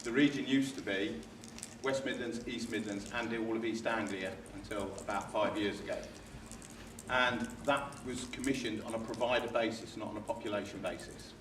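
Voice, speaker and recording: A man's voice: fairly low pitch, steady tone, normal speed. A man invited to present at a board meeting, explaining plainly and standing away from the microphone. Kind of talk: formal presentation. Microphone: distant from the mic. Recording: echoey room, distant and noisy.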